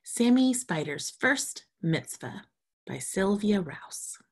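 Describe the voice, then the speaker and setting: A woman's voice: mid-range voice, big pitch swings, slow, husky. A woman storytime reader, reading a children's storybook aloud expressively and talking to young children.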